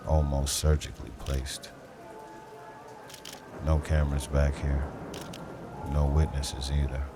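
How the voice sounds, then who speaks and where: A man playing a brooding, street-smart detective who narrates a noir audio drama in measured, terse, dramatic lines over music. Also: very deep voice, exaggerated voice. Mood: grim, observant.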